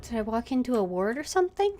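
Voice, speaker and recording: A woman's voice: on the high side, very animated, quick pace, dark tone, slight background noise. A woman streamer commenting on her gameplay, puzzling things out aloud.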